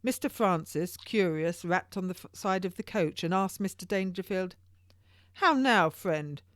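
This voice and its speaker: A woman's voice: on the low side, animated, a little slow, dry recording, clean studio sound, pitched above the performer's own voice. A woman voicing a small, well-fed male messenger who tells his news with relish and deference in archaic English for an audiobook. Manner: passionate, deferential archaic storytelling.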